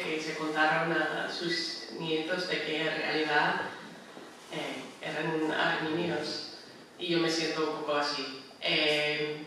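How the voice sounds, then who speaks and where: Slow, halting man in an echoey room. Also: on the high side, sharp tone, a little hiss or hum. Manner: halting, searching for words. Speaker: panel speaker. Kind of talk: personal account at a public talk. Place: meeting room at a union headquarters. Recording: echoey room, speaker far from mic.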